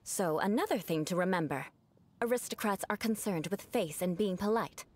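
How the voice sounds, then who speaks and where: A woman's voice: mid-range voice, normal speed, darkish tone, natural voice. An actress playing a proud young aristocratic woman knight in a video game, aloof and formal with precise, haughty diction. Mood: composed, lecturing. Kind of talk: scripted video game voice acting.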